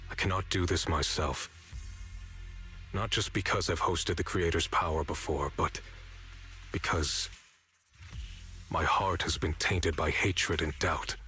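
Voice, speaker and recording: Serious big brother from a video game, low forceful voice, earnest and formal, bit of music. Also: male voice, steady tone. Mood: earnest, confessing. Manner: measured, solemn, formal. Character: grave, earnest older brother desperate to save his sister. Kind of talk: scripted video game voice acting.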